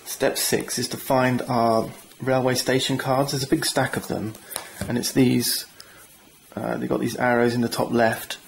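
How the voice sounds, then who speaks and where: A man's voice: mid-range voice, level tone, measured pace, vocal fry, a little hiss or hum. A man hosting a board game channel, walking viewers through setup and rules in a chatty, enthusiastic way with self-deprecating asides. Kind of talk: tabletop game rules walkthrough.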